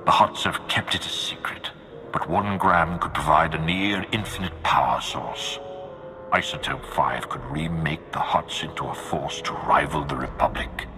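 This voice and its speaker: Very deep, grim dark lord. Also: male voice, steady tone. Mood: stern, explaining. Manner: grave, formal, measured. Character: grim commanding dark lord of an empire at war. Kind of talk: scripted video game acting. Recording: game music behind the voice.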